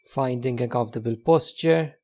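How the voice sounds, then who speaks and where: A man leading a guided meditation in a gentle, unhurried manner, leaving long pauses between instructions. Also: medium pitch.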